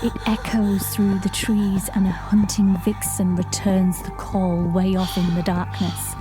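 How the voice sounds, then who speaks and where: An actress playing a haunted folk singer who shapeshifts, narrating her story in a measured, lyrical, reflective way in an audio drama. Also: mid-range voice, level tone, music in the background, natural voice. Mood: breathless, intense. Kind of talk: audio drama monologue, first-person narration.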